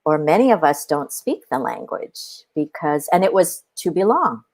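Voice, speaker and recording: Lady guest on a podcast, lowish voice, muses and tells stories, gets lively in the asides. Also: moderate pace. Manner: musing, warm storytelling with animated asides. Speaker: podcast guest, spiritual companion. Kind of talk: reflective conversation.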